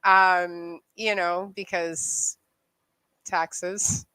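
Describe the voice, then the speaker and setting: A woman's voice: lowish voice, level tone, drawn-out words, pushed and bright, smooth, no echo, low-quality recording. A woman from Canada's Niagara region in her thirties, hosting a casual chat from home. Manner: chatty, loose, laughs easily. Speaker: show host. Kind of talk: casual video chat interview.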